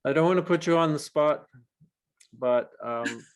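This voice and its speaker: A man's voice: a little high, moderate pace. Hesitant man asking a polite question. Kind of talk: casual chat on a video call. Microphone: video call.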